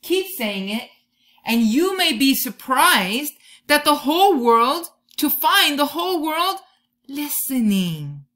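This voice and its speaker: A woman in her thirties doing an expressive children's read-aloud, drawing out key words like a teacher talking to young listeners. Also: high voice, slow. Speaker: teacher reading a picture book to children. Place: bedroom or room at home, indoors.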